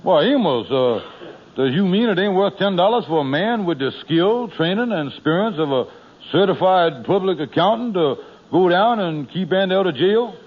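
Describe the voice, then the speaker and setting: A boastful con artist in a 1940s radio comedy, talking big in a high, blustering voice. The sound is dull and muffled, like an old radio broadcast. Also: male voice, expressive. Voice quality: fast blustering dialect talk. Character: boastful scheming middle-aged man, smooth-talking con artist.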